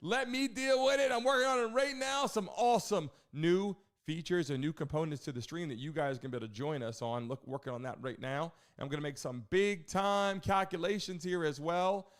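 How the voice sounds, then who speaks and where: A man's voice: a little high, fairly quick, few pauses. A man hosting a casual live sports stream, talking to viewers in an informal hype style with catchphrases.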